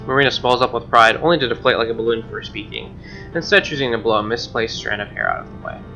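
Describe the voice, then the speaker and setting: A man's voice: medium pitch, fast talker, bright, forceful tone. A man doing a let's play, reading visual novel narration aloud with casual commentary over game music, on a lo-fi mic.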